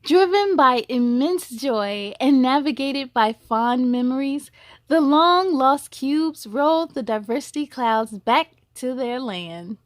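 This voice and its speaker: Woman, storytime host, high pitch, slow read-aloud pace, expressive, encouraging, dry recording. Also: dry recording. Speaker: storytime host reading a children's book aloud.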